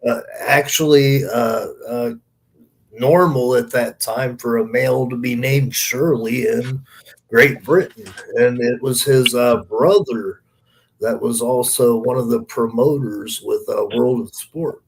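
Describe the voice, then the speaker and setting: A man's voice: mid-range voice, takes it slowly, low-quality recording. A man co-hosting a casual podcast, explaining bits of history in conversation. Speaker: podcast co-host.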